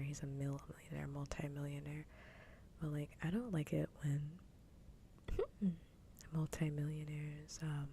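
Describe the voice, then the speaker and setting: A woman whispering softly and breathily in a casual, rambling ASMR chat, close to the microphone. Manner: rambling, conversational. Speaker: ASMR livestreamer. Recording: low rumbling background noise.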